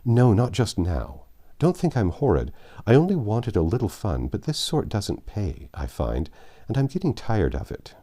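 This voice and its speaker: A man's voice: fairly low pitch, huge pitch swings, moderate pace, warm tone, pitched above the performer's own voice. A man voicing an anxious, proud young woman who confesses and plays, in a storybook reading. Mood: weary, regretful.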